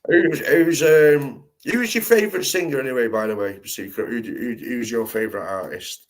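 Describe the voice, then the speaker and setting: A man's voice: mid-range voice, moderate pace. A man hosting a live stream, chatting casually in slangy, rambling talk full of restarts.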